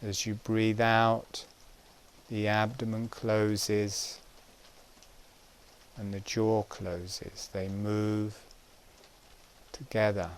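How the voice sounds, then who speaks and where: A man giving guided breathing instruction in a calm, gentle, measured way, pausing between short phrases. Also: fairly low pitch, a little hiss or hum.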